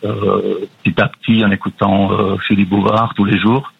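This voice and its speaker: A middle-aged man calling a radio show from abroad, telling his story in a calm, measured way. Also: fairly low pitch, vocal fry, husky. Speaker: radio caller. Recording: tinny phone line.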